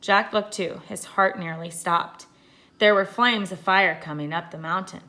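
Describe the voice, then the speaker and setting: A woman, a teacher, reading a children's adventure story aloud with deliberate, expressive emphasis. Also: mid-range voice.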